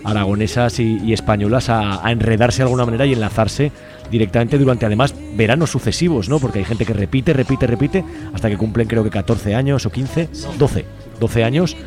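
Halting man hosting a radio interview. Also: medium pitch, over music. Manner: stammering restarts, tag questions. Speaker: radio host.

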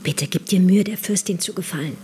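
A woman's voice: lowish voice, fairly quick, lightly acted. An actress playing a devoted, anxious married woman with a secret lover in a radio drama, speaking intimately and earnestly. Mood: pleading, devoted. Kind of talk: German radio drama acting. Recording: loud music behind the dialogue.

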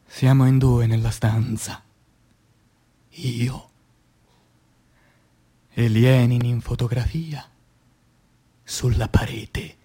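A man reciting poetry as a stage actor, in a dramatic, declamatory and solemn manner with long pauses. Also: mid-range voice, fairly even intonation, warm tone, husky. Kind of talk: dramatic theatrical poetry recitation for radio theatre.

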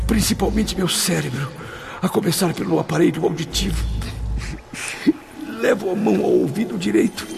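A high, tormented blind soul. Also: male voice, gravelly, heavily acted. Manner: theatrical, tormented, halting and desperate. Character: anguished, blinded man trapped in afterlife torment after suicide. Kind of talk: radio drama acting. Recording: dramatic music under the voice.

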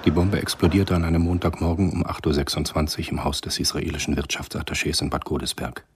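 Very deep-voiced male narrator. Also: flat delivery, fast talker, background noise. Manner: sober, matter-of-fact. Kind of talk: scripted radio drama narration.

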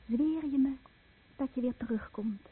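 An actress playing a devoted fisherman's wife in a radio play, husky, solemn and tender. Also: high voice, moderate pace. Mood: solemn, exacting. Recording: phone-like old radio recording.

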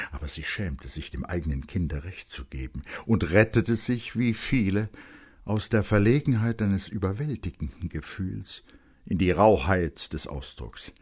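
A man reads literary narration in a fairly low, husky voice. His intonation moves widely, giving the scripted prose a dramatic, expressive shape.

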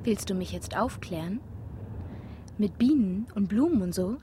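A woman's voice: medium pitch, animated, a little slow, dark tone, slight background noise, natural voice. An actress playing a headstrong, teasing young woman in a naturalistic radio drama. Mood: teasing, defiant. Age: young adult.

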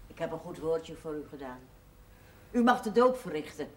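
Weary, blunt older aunt. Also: female voice, low voice, sing-song, moderate pace, a little mellow, a little hiss or hum. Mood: dry, weary. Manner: blunt, terse. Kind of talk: television drama dialogue.

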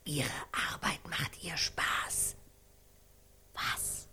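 An actress in a radio play voicing a woman prompter who slowly and insistently whispers lines in a breathy voice. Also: sharp tone, full character voice. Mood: hushed, insistent.

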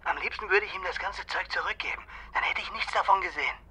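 An actor playing a streetwise, bossy young petty crook in a German radio crime drama, talking in brusque, needling slang. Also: male voice, high-pitched, fast talker, background noise, lightly acted. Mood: agitated. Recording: music behind the voice.

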